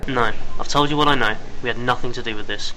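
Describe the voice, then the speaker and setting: A man playing a nervous, defensive smuggler turned informant in an amateur radio play, hurried and insistent. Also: medium pitch, pushed and bright, lightly acted, low-quality recording.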